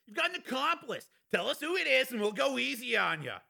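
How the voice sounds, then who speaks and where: A man voicing a big, hot-tempered working-class man in an audiobook, raspy and strained, blustering in coarse slang. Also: extremely high pitch, sing-song, brisk, sharp tone, pitched above the performer's own voice, full character voice. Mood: aggrieved, bitter. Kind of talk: audiobook character acting.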